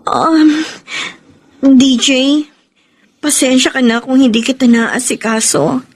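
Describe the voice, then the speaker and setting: Troubled young heroine for radio drama: extremely high voice, halting, emotional delivery. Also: female voice, husky, heavily acted. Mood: hesitant, guarded. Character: troubled, hesitant young woman, secretive and tearful. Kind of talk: Filipino radio drama acting.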